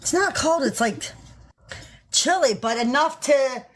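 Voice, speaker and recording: Crude, teasing woman with a high voice. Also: animated, a little slow. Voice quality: crude, teasing, laughs often. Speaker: livestreamer. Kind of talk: casual livestream chat.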